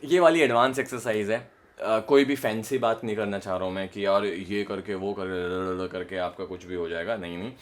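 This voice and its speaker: Slow, patient man coaching voice exercises. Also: on the low side. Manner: slow, explanatory, repeats a line on rising notes. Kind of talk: casual spoken lesson with vocal demonstrations.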